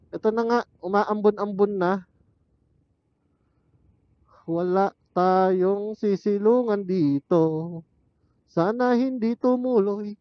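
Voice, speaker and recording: A man's voice: a little high, dry recording. A man chatting casually and thinking aloud as a motorcycle vlogger while he rides, speaking into a helmet intercom headset. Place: outdoors, riding a motorcycle on mountain roads. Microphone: helmet intercom headset used as external mic. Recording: muffled helmet intercom microphone.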